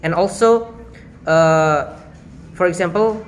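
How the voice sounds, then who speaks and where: Young man, Indonesian accent, fairly high voice, hesitant student talk, phone video, faint hiss. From Madura, Indonesia. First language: Madurese. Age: young adult. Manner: halting, searching for words with many fillers. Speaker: student presenter. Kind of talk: class presentation. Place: small indoor room against a plain wall. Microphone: phone at arm's length.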